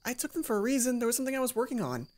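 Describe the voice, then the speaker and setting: Woman putting on an earnest, higher-pitched schoolgirl. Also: very animated, racing, darkish tone. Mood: defensive. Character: earnest, emotional schoolgirl friend, secretive and guilt-ridden.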